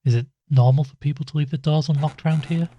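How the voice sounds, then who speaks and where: A man's voice: fairly high pitch, fairly even intonation, fast talker, warm tone, husky, lo-fi sound. A man playing an uneasy, wryly weary investigator in an audio drama, speaking hesitantly. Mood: uneasy, guarded. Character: uneasy male investigator narrating his case, reluctant and wry. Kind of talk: audio drama dialogue and first-person narration.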